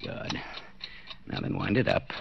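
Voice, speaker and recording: A man's voice: a little slow, muffled recording, close to an everyday voice. An actor in a 1940s radio thriller playing a betrayed husband who mutters coldly to himself as he plots murder. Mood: tense, careful. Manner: muttering, brooding self-talk in second person. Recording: noisy vintage 1940s radio broadcast.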